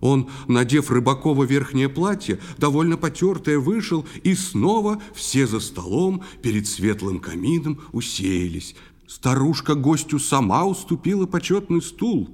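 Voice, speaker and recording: A man giving expressive scripted verse narration for an audio play, with a long reverb. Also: mid-range voice, unhurried. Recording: long reverberant hall sound.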